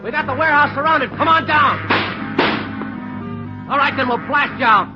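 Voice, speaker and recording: Terse hard-boiled detective, matter-of-fact, old lo-fi radio broadcast, music behind. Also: male voice, extremely high pitch, normal speed. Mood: shouting orders. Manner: clipped, matter-of-fact, barks orders in the chase. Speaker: police detective and narrator. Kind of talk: 1940s radio drama acting.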